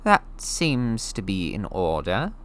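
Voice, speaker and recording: A man voicing a prim male goblin bank clerk in a storybook reading, speaking slowly and formally. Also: on the low side, wildly swooping pitch, exaggerated voice.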